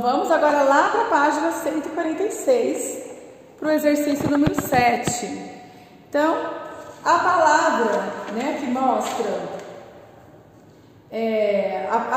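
A woman's voice: high voice, animated, moderate pace, slight background noise. A woman in her thirties with a Brazilian Portuguese accent, a primary school teacher correcting workbook exercises, patiently explaining and asking the class questions. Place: classroom in front of a whiteboard, indoors. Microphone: far camera. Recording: roomy classroom echo, from a distance.

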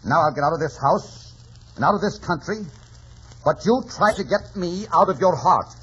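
An actor playing a bitter, passionate wild young lover in old radio drama, intense and declamatory. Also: male voice, on the high side, animated, normal speed, natural voice. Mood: scornful, angry. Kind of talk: 1940s radio drama acting. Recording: dull old radio recording, faint noise.

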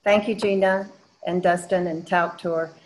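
Older woman, Georgia accent, slow and measured, lowish voice, video call lecture. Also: fairly even intonation, a little mellow, low-quality recording. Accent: Southern American, Georgia. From Georgia, USA. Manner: slow, measured, with frequent "um" pauses. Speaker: artist giving a lecture. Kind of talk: online lecture. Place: home studio wall hung with paintings, indoors. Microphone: video call webcam.

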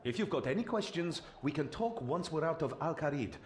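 A man voicing a brisk, wry young adventurer in a video game, chatty and expressive. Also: on the high side, close to an everyday voice. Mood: urgent.

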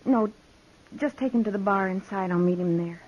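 A woman playing a troubled young saloon girl in a 1950s radio drama, soft-spoken but resolute. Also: medium pitch, normal speed, close to an everyday voice. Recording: dull old radio recording.

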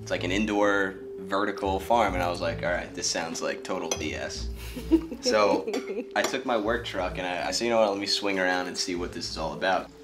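A young man with a very low voice tells a laid-back, joking story in a home kitchen. Music plays clearly behind him. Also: expressive, brisk. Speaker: interviewee, farmer's husband. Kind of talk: casual storytelling. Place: home kitchen and living room.

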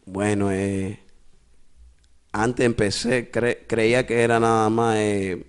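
A man's voice: lowish voice, little pitch movement, a little slow, clean tone. A young man from Brooklyn, a musician guest talking casually in a small podcast studio, slipping between English and Spanish and often saying "you know what I mean.". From East New York, Brooklyn. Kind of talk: casual interview talk. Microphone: studio mic with headphones.

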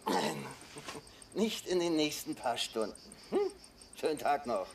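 An actor playing a gruff, dismissive homeless man with a rough drawl in a radio play. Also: medium pitch, very animated, takes it slowly, noisy background. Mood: weary, mocking.

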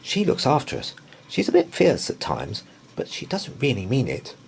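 A man's voice: medium pitch, huge pitch swings, normal speed, creaky, voice pushed up. A man voicing a friendly, reassuring young girl in a storybook.

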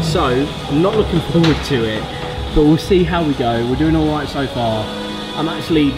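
A young British man chatting casually to camera while filming himself in a large, bright gym. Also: fairly high pitch, poor audio. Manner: chatty, rambling with fillers. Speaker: vlogger. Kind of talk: casual vlog chat to camera. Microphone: camera-mounted shotgun mic.

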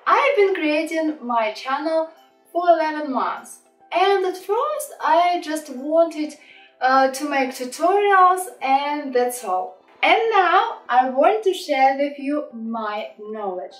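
A young woman with a Russian accent speaks in a high, bright register at a slow, patient pace. She explains design software to beginners in friendly, simple English. The room sounds roomy and the camera mic sits back from her, with faint music underneath. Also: expressive, recorded from a distance. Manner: friendly, unhurried, simple English with small slips. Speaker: graphic design teacher. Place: small home office.

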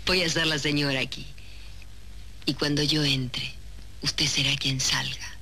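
Bright-voiced ambitious young woman. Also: low voice, little pitch movement, a little slow, full character voice. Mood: cold, calculating. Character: ambitious, cold young woman from a humble neighbourhood. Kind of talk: 1970s television soap opera acting. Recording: noisy old 1970s TV soundtrack.

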